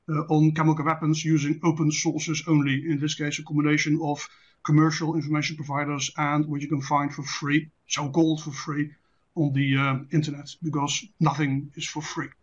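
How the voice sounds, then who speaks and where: A man with a Dutch accent, a native Dutch speaker, presenting an online lecture in a measured, deliberate, didactic way. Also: fairly high pitch, level tone. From Netherlands. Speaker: presenter, intelligence specialist. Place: online presentation with screen share. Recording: dull lo-fi online call audio.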